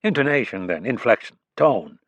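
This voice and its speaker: Narrator playing a quick-witted middle-aged investigator, probing questions, a touch louder. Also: male voice, medium pitch, sing-song, dry recording. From Illinois. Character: sharp, curious middle-aged male criminalist. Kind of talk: audiobook dialogue.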